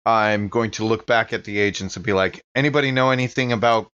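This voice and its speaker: Male tabletop player, fairly deep, casual in-game narration, slightly muffled recording. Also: quick pace, a bit bright, smooth. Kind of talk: casual in-character narration of an actual-play game.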